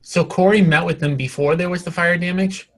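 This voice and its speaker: A man's voice: high voice, fairly even intonation, brisk, fairly bright tone. A man leading a casual real estate conference call, asking direct, probing questions as a coach.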